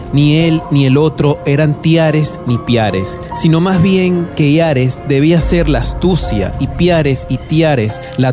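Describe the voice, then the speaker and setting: Higher-voiced man narrating a radionovela over music. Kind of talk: scripted literary narration, radionovela. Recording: music under the voice. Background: background music.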